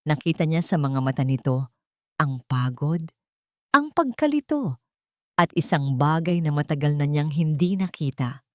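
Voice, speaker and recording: Woman narrating a dramatic story, lively intonation. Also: sits low, measured pace, dry recording, studio quality. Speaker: audiobook-style story narrator.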